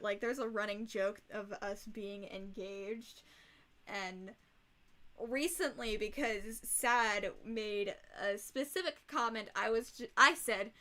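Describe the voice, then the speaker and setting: A young woman chats with her stream in a rough, hoarse voice. Her tone is bright and a touch high, and she talks slowly and bluntly, swearing freely. She sounds exasperated. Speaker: livestreamer. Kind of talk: casual livestream chat.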